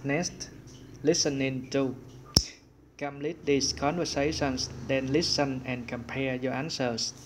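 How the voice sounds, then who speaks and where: A male English teacher explains a course-book exercise step by step. He speaks slowly in an even, flat tone, over a faint hiss of noise. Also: mid-range voice. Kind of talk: online lesson narration.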